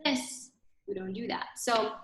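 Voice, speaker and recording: A woman's voice: fairly high pitch, slow, fairly bright tone, roomy sound. A woman in her thirties from Ukraine with a Ukrainian accent, a fitness studio owner explaining things casually in an interview, with frequent fillers and tag questions.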